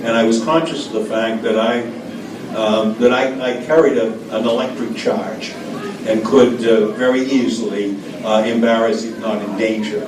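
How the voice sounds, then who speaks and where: A man's voice: mid-range voice, level tone, moderate pace. Older american guy, ex diplomat, rambly stories w lots of uhs, far off mic in an echoey cafe. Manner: anecdotal, hesitant with many fillers. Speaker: former American diplomat guest. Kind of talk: storytelling interview answers. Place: café event room. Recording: echoey room, distant mic, background noise.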